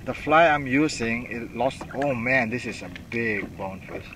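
A middle-aged man filming himself from a kayak on open water, calling out short excited reactions with whoops and laughter. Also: medium pitch, normal speed. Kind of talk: live reactions while fishing. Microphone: action camera mounted on kayak. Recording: wind and water noise.

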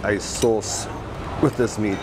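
A man's voice: fairly low pitch, steady tone, takes it slowly, very noisy. A middle-aged man from Africa, eagerly reviewing a restaurant buffet to camera while he eats. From South Africa. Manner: enthusiastic, talks to camera while eating. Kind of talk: food review vlog. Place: indoor restaurant by a busy street.

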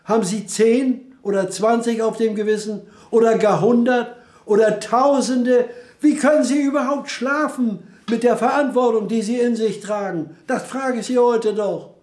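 A haunted returning soldier pleads and accuses, his voice pushed high and strained, as an older man acts out the scene. A roomy echo hangs around the voice. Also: fairly even intonation, moderate pace, a little mellow. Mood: pleading, accusing. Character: haunted young male returning soldier, sleepless.

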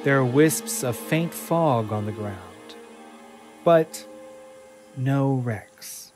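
Measured male narrator, faint music behind. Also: mid-range voice, animated, darkish tone. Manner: measured, descriptive storytelling. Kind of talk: scripted narration of an audio drama.

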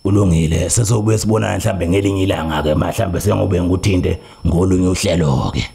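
A South African man voicing a solemn, slow-spoken traditional healer in a prank call, deliberate and hesitant as he repeats himself. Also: low voice, gravelly, dark tone. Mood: persuasive.

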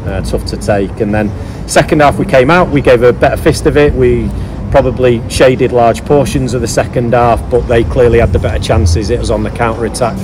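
A man's voice: medium pitch, fairly even intonation, fairly quick, few pauses, background noise. A middle-aged man, a football manager, giving a candid post-match interview outdoors at a stadium, thoughtful with many fillers and restarts.

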